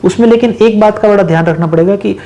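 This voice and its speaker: A man's voice: sits high, fast, mellow, dull audio. A man giving emphatic, instructive astrological advice as an interview guest, mixing in English phrases. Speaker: astrologer and palmist, programme guest. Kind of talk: advisory explanation in a studio interview.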